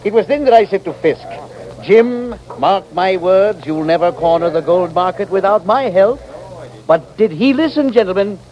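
A man's voice: sits high, expressive, moderate pace, highs cut off. Casting: grandiose western con-man financier, lightish voice, theatrical and name-dropping, vintage radio drama. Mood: boastful, theatrical. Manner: florid, oratorical, name-dropping. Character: pompous, grandiose little financier and smooth-talking swindler. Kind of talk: old-time radio western acting. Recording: noisy vintage radio recording.

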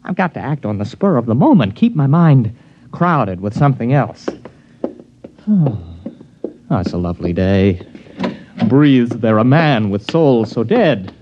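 Flustered American suitor, played by a man, stammering and animated, vintage radio drama, dull muffled recording. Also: medium pitch, unhurried, long pauses, background noise, lightly acted. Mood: forced recitation. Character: anxious city man, flustered suitor cornered by a mind-reader. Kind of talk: 1940s-50s American radio drama acting.

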